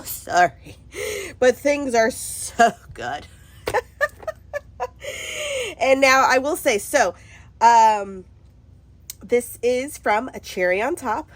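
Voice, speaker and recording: A woman with a congested cold, chatting bubbly and excitedly at her craft table in a casual haul video, laughing often. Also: fairly high pitch. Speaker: craft vlogger.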